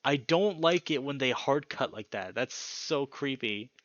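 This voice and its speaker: Bright-voiced man commentating on a game. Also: mid-range voice, animated, measured pace, dry recording, lo-fi sound. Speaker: let's play host. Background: guitar music.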